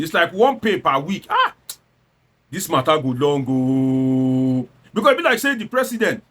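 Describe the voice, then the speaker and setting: A man's voice: sits high, pushed and bright. A man with a Nigerian accent giving informal satirical political commentary, theatrical and exclamatory, slipping into Pidgin and throwing out rhetorical questions. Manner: slips between standard English and Nigerian Pidgin.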